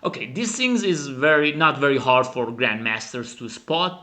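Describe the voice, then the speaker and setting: A man who is a non-native English speaker gives animated, emphatic chess commentary as a teacher, dramatizing the game. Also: medium pitch, normal speed. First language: not English. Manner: dramatic, emphatic, acts out the players' thoughts. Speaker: chess teacher and channel host.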